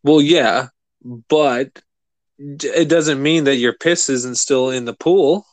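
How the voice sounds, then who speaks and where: A man co-hosting a casual podcast, rambling and animated, swearing freely. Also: mid-range voice, slow pace, no echo. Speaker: podcast co-host. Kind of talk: casual chat.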